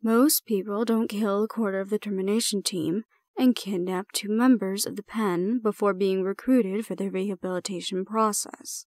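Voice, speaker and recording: Woman acting as stern, composed supervisor for an audio drama; formal, deliberate delivery, dry close sound. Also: medium pitch, fairly even intonation, a little mellow, no echo, lightly acted. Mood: measured, stern. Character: composed, stern female supervisor handling a dangerous ward. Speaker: voice actor in audio roleplay. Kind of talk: scripted audio roleplay acting.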